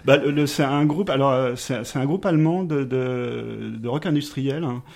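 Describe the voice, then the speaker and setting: A man's voice: medium pitch, moderate pace, creaky, darkish tone. A man giving a radio column in the studio, improvising with self-mocking humour, many hesitations and easy laughter.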